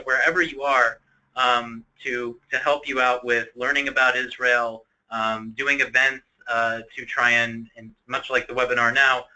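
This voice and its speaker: A man from Ohio giving a webinar talk in a loose, chatty way, with lots of ums and self-corrections. His voice is very bright and forceful, and his pitch sits mid-range for a man. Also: low-quality recording. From Ohio, United States. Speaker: tourism office presenter. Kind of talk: casual presentation.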